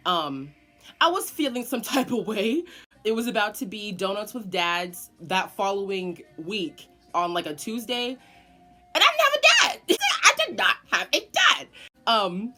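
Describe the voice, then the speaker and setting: An eighteen-year-old woman telling a dramatic storytime to her vlog viewers, swearing freely. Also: mid-range voice. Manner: animated, dramatic, swearing often. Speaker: vlogger. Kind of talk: casual storytime.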